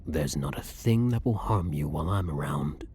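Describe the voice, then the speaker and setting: A man's voice: very deep voice, lively intonation, moderate pace, warm tone, slight background noise. A man playing a blunt, guilt-ridden vampire hunter who is secretly a vampire, in an intimate ASMR audio roleplay monologue. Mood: calm, dominant.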